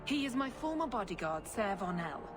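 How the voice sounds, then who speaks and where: A woman playing a composed, calculating noblewoman in a video game, speaking in a formal, measured way. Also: mid-range voice, big pitch swings, music in the background, lightly acted. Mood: cool, formal. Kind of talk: video game dramatic acting.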